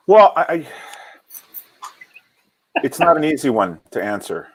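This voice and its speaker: A man from Hawaii, an opera singer, talking reflectively in an interview with many halting restarts and repeated words. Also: fairly high pitch, big pitch swings, slightly rough. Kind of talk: reflective casual interview talk.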